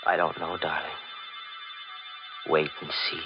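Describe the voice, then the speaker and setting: A man's voice: a bass voice, completely flat delivery, measured pace. An actor playing a scheming, paranoid murderer telling his story in an intense, melodramatic 1940s radio thriller style. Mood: falsely soothing. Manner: intense, melodramatic, swinging from hushed to frantic. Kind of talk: 1940s radio thriller acting, first-person narration. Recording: dull 1940s radio recording, loud music.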